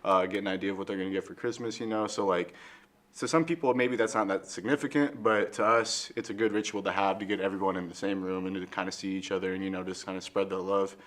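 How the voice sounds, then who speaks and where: A man's voice: low-pitched, fairly even intonation, fast, fairly bright tone. A young man answering interview questions in a rambling, casual way, full of "like" and "you know.".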